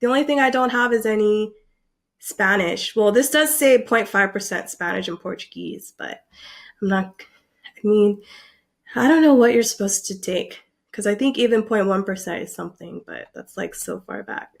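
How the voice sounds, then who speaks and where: A young woman vlogging casually, reacting in an animated, excitable way and filling her talk with likes and ums. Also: medium pitch, moderate pace, gravelly. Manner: animated, excitable, filler-heavy with frequent likes.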